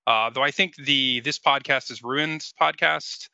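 A man chatting casually as a podcast guest, halting and reflective, over a lo-fi connection. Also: medium pitch, steady tone, vocal fry, pushed and bright, dry recording.

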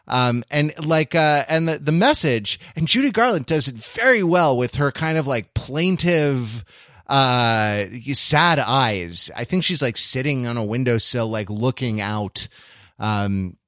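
A man's voice: mid-range voice, expressive, unhurried, sharp tone, no room sound, clean studio sound. A man hosting a podcast in casual, comedic chat, talking in a rambling, digressive and wry way. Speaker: podcast host.

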